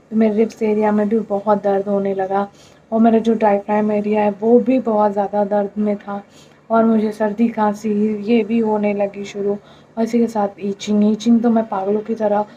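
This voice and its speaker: A hoarse young woman with an Indian accent talks to camera in a tired, plaintive way, her voice husky and very dark, flat in tone. The sound is dull and lo-fi. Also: mid-range voice. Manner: tired, plaintive, mixes English words. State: hoarse, throat infection. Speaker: vlogger. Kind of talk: casual talk to camera.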